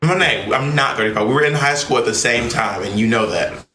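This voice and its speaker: A man's voice: medium pitch, a little fast, pushed and bright. A man in his early thirties bantering and telling stories as a podcast co-host, sassy, theatrical and teasing. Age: early 30s. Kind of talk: casual comic banter and storytelling. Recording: echoey room, clear background noise.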